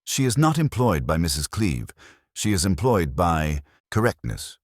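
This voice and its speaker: A man playing a mild, shrewd police inspector in an audio drama, quiet and dryly probing. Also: deep voice, sing-song, measured pace, no room sound, studio quality, full character voice.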